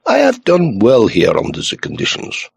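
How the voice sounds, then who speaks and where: A man voicing an excitable, pompous police commissary in an audiobook, florid and dramatic. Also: medium pitch, normal speed, gravelly, husky, no room sound, pitched above the performer's own voice, full character voice. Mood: aggrieved, emphatic.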